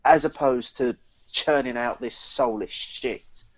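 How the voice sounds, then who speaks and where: A man's voice: medium pitch, slow pace, muffled recording. A British man co-hosting a casual podcast chat, speaking in an opinionated, emphatic way. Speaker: podcast co-host.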